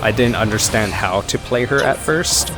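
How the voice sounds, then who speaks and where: A man's voice: lowish voice, normal speed. A man giving casual reaction commentary as he plays through a video game. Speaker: gaming reaction streamer. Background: game music.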